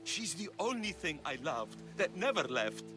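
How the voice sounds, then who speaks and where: An actor playing a wily, sentimental aging mercenary with a coaxing, theatrical way of talking, in a video game cutscene. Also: male voice, sits high, big pitch swings, normal speed. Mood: wistful. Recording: music behind the dialogue.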